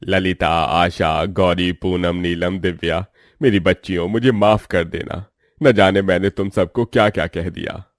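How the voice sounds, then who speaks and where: पुरुष के अभिनय में गाँव का रौबदार सरपंच, गहरी आवाज़. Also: male voice, low voice, fairly even intonation, a little slow, no room sound, clean studio sound. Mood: remorseful. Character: proud middle-aged village headman, gruff, easily incited. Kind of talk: Hindi family moral-story acting.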